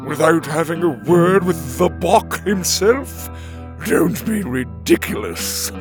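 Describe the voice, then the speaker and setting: A man voicing a giant, vain male chicken chief who fusses over clothes, speaking in a drawn-out, haughty way. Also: on the high side, animated, music in the background, pitched above the performer's own voice. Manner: drawn-out, haughty, slow and swooping. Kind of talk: storybook character voice.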